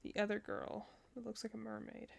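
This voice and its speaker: A woman's voice: mid-range voice, completely flat delivery, fairly quick, gravelly. A woman giving casual reaction commentary as a streamer, rambling and thinking aloud as she goes. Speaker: streamer reacting to game character demos.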